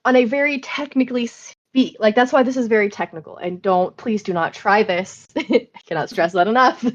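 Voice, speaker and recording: An American woman hosting a podcast, explaining things in an informal, animated, sweary way. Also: fairly high pitch, normal speed, dull audio. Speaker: podcast host. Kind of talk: casual explanatory podcast chat.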